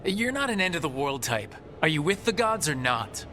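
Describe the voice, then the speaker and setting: Cool, wry game hero. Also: male voice, a little high, very animated, normal speed, bright, forceful tone, slight background noise. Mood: wry, challenging. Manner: direct, dry. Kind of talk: dramatic video game acting.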